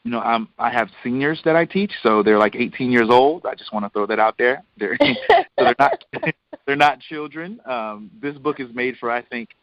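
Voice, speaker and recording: A man's voice: mid-range voice, big pitch swings, normal speed, dry recording. A man interviewing conversationally over the phone, emphatic and repeating phrases as he builds his point. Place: phone call. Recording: dull lo-fi phone line.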